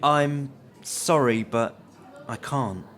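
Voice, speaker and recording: A man's voice: medium pitch, a bit bright. Man playing a hesitant young retail worker. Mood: politely reluctant. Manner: hesitant, halting. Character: nervous, polite young male retail worker.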